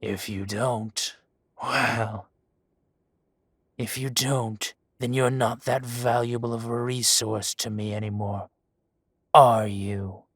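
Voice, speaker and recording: A man voicing a menacing adult male dragon gang boss in an audio roleplay drama. He speaks softly and condescendingly, with deliberate, slow threats and hushed whispers. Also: mid-range voice, fairly even intonation, no echo, clean studio sound. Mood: silky menace. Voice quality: soft-spoken, silky and condescending, drops to whispers.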